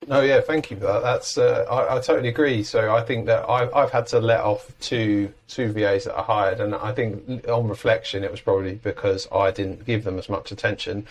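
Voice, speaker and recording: A man's voice: lowish voice, fairly even intonation, moderate pace, few pauses. A man hosting a podcast interview, conversational and hesitant, with stammered restarts. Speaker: podcast host.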